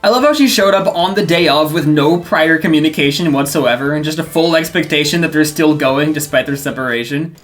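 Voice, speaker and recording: A woman's voice: sits low, fairly quick, few pauses. A woman hosting a video essay, narrating from a script with dry, sarcastic commentary.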